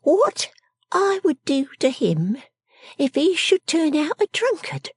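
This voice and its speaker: Storybook role: scolding young girl dressmaker, very high swooping voice, snappish, bossy and emphatic. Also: sing-song, slow, dry recording, exaggerated voice. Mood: quaint, brooding. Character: shrewish, bossy, sickly young girl dressmaker, sharp-tongued. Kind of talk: storybook character acting.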